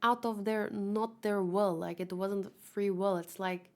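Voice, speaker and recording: A woman's voice: medium pitch, steady tone, a little slow. A woman giving informal commentary as a read-along host, thinking aloud, arguing her points and acting out imagined replies.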